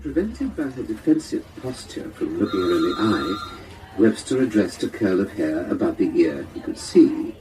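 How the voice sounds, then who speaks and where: A man acting as reader of a detective novel, narrating in an expressive, deliberate way. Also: lowish voice. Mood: tense. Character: male reader of a hard-boiled detective novel. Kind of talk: dramatic novel reading. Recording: noisy ambience, vehicle sound behind.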